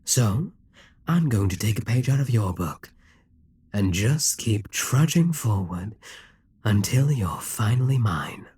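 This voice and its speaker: A man playing a husky, slow-spoken demon king. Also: lowish voice, very animated, a little mellow, no echo, full character voice. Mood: hypnotic. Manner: slow, commanding, coaxing, drops to whispers. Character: possessive scheming male demon king, calm and ruthless. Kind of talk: M4A audio roleplay.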